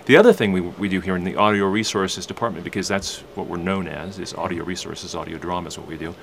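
A man's voice: sits low, steady tone, fast, creaky, fairly bright tone. A man speaking as an executive producer, talking casually about his work in an office interview. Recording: office with faint background noise.

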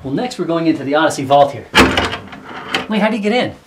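A man's voice: fairly high pitch, very animated, fast talker, loud music behind the voice. A man co-hosting a podcast, chatting casually as he asks questions around an office. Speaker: podcast co-host. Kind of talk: casual chat. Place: offices, indoors.

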